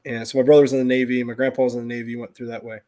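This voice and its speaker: A middle-aged American man talks off the cuff in an everyday mid-pitched voice. He rambles through stories, doubling back and restarting his thoughts as he goes, in the relaxed style of a casual livestream. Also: racing. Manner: rambling, self-interrupting storytelling.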